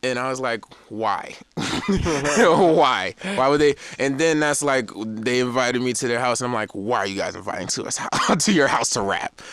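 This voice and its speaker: A young man who raps in a band, telling stories in a relaxed, rambling way on a podcast. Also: medium pitch, normal speed, hardly any pauses. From Florida. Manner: laid-back, rambling storytelling with laughs. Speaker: rapper in a band. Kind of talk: casual interview chat.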